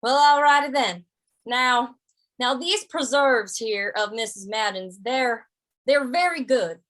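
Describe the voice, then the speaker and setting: A woman's voice: fairly high pitch, slow, fairly bright tone. A woman playing a sly, good-natured western drifter in a radio play, folksy and hesitant, often repeating words. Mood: hesitant, diplomatic. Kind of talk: old-time radio western acting.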